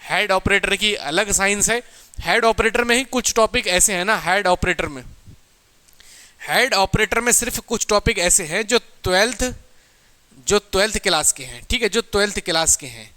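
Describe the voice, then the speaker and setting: A man's voice: high-pitched, animated, normal speed, bright, forceful tone. A man teaching an informal exam strategy lesson, repeating points and answering his own questions. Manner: repetitive, asks questions and answers them himself.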